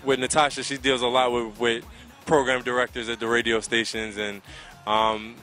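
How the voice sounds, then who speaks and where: Male interviewee, American accent, bright mid-pitched voice, conversational, faint music underneath. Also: flat delivery, moderate pace. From Connecticut, United States. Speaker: soca singer being interviewed. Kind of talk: casual interview answers. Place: outdoors by a riverside. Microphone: handheld microphone held close to the mouth.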